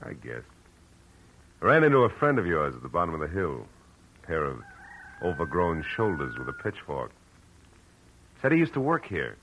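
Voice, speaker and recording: A hard-boiled radio detective with a deep, bright-edged voice. He is laconic, guarded and dryly evasive, yet his pitch moves freely. There is faint noise on the old recording. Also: huge pitch swings, moderate pace, pauses often, full character voice, rough recording. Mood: casual probing. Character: cool, wary, wisecracking private detective posing as stranded traveler.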